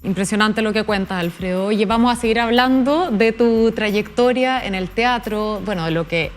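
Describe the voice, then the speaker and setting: A woman's voice: medium pitch, moderate pace, faint music behind. A woman with a Chilean accent, speaking conversationally as the host of a television interview.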